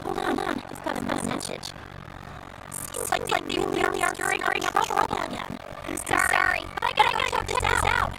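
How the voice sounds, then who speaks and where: A woman's voice: extremely high pitch, huge pitch swings, normal speed, creaky, heavily acted, low-quality recording. A woman playing a friendly, chatty young female patroller in a video game, with a chirpy, eager manner. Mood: flustered. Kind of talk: video game character acting. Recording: loud game music behind the voice. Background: loud music.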